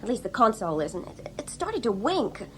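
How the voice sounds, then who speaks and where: A woman's voice: medium pitch, sing-song, moderate pace, slight background noise, close to an everyday voice. An actress playing a sarcastic young female travelling companion, spirited and emphatic, in a 1980s radio drama. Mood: worried. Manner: sardonic, emphatic.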